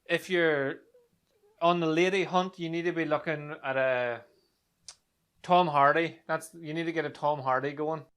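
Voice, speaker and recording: Host guy on a chat podcast, clear smooth voice, kinda high. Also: fairly even intonation, measured pace, a bit bright. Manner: brief reactions, laughs along with the guest. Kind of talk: casual interview chat.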